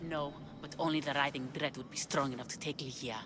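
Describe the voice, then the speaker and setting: A woman's voice: low-pitched, steady tone, a little fast, noisy background, close to an everyday voice. A woman playing a grieving, heartfelt lover. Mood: earnest. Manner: earnest, heartfelt, anxious. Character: grieving young woman longing for her lost lover. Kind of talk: video game cutscene acting.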